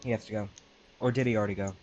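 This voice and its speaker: Man, lowish voice, casual game player, faint music behind. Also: steady tone, very fast, a little mellow, dull audio. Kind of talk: casual chat.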